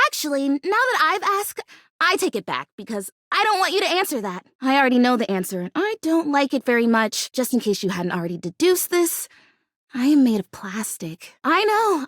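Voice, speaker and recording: Bubbly ditzy young plant girl for a video game, really animated and chatty, super dry close sound. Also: high voice, normal speed, dry recording. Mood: dismayed, wistful. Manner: gushing, chatty, easily distracted, exclamatory. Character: bubbly, scatterbrained young female plastic houseplant longing to be real.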